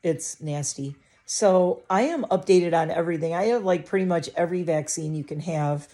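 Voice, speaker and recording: A woman's voice: fairly low pitch, normal speed, warm tone. A middle-aged woman vlogger chatting casually to camera from her living room, rambling and interrupting herself, a little stuffy with a scratchy throat.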